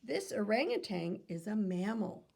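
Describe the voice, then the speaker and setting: Woman, teacherly children's read-aloud, lively intonation, heavy vocal fry, instructive and questioning. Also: medium pitch, measured pace. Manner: instructive, posing questions to young listeners.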